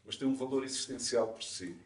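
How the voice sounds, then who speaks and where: A man's voice: medium pitch, a little fast. A man with a European Portuguese accent giving an informal explanatory talk, conversational and didactic, often checking in with his listeners. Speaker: speaker presenting at a community conference. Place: indoor event room, live talk. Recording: echoey room, distant mic, faint noise.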